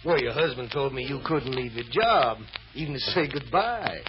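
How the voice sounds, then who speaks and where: A man's voice: mid-range voice, animated, moderate pace, a crowd in the background, natural voice. Genial, folksy salesman, played by a man. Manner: folksy, hesitant, chuckling. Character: genial, flirtatious travelling salesman. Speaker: radio drama actor. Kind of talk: old-time American radio drama acting. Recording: dull, noisy old radio recording.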